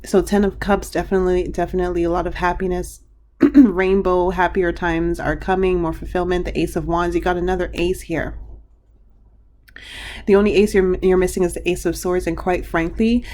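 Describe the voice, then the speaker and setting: A woman reads tarot cards aloud in a loose, rambling manner, circling back and restarting her sentences. Her voice sits a little low and has a slight hoarseness, and a faint ambient hum runs underneath. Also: level tone, moderate pace, warm tone. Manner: chatty, meandering, many fillers and restarts. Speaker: tarot card reader. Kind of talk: pick-a-card tarot reading.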